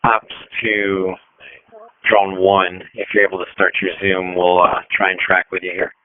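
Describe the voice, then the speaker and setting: A man working as a police air operations radio operator, speaking in measured, procedural instructions over a tinny two-way radio. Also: sits low, completely flat delivery, clear, resonant tone.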